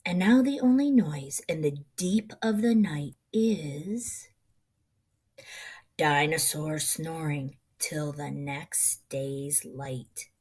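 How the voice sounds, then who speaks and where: A middle-aged woman reading a children's picture book aloud in an expressive, rhythmic, sing-song way. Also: low voice, extremely slow, slightly rough.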